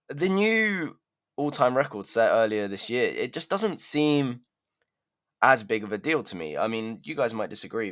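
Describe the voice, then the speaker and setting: A man with a fairly low voice chats loosely on a news podcast. He hedges his points with filler phrases as he explains things. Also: fairly bright tone. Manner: chatty, hedging with "sort of". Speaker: podcast co-host. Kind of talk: casual podcast discussion.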